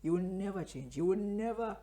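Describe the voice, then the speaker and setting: A man's voice: high voice, animated, brisk, warm tone. A man of twenty-three, hosting a podcast as a casual motivational monologue and repeating phrases for emphasis. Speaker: podcast host.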